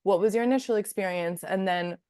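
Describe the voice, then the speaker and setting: A woman's voice: medium pitch, fairly quick, a little mellow, smooth, dry recording, clean studio sound. A young woman with a Dominican accent hosting a podcast, chatty and rambling in a friendly way. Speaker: podcast host. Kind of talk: casual interview.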